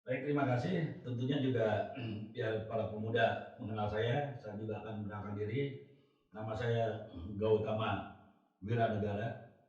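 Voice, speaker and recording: A man's voice: lowish voice, fairly even intonation, a little mellow, recorded from a distance, muffled recording. Echoey off-mic male talk show host. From West Java. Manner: measured, clears throat often, sums up guests' points. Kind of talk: formal moderated discussion. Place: studio.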